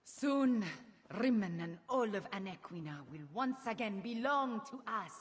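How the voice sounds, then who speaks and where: An actress playing a proud young cat-folk heir to the throne, solemn and deliberate, in a fantasy video game. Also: female voice, a little high, animated, big-room sound. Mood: solemn, resolute. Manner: solemn, deliberate, regal declarations.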